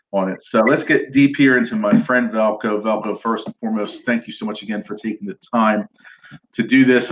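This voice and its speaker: Live-stream host: upbeat, chatty man, lowish voice, muffled lo-fi audio. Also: a bit bright. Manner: upbeat, chatty, thanks viewers and asks them to share. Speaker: show host, recruiter. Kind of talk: casual live-stream interview.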